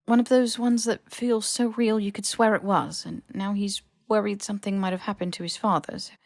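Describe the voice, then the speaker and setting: A woman voicing an earnest, awkward teenage boy wizard in an audiobook, halting and stammering as the character defends himself. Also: medium pitch, fairly even intonation, gravelly, no echo, studio quality, close to an everyday voice.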